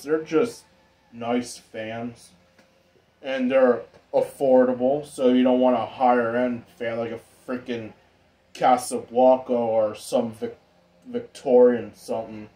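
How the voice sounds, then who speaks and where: A man giving a casual, informal hobbyist demonstration in an unhurried, rambling way. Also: medium pitch, a little mellow. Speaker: ceiling fan hobbyist vlogger. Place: indoor room with exposed pipes and ductwork.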